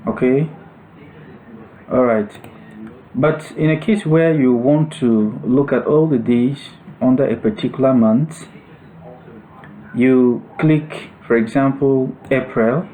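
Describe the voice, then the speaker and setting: A man narrating a step-by-step software tutorial as an instructor, explaining things in short, separated phrases. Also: medium pitch, slow pace, long pauses, slight background noise, rough recording.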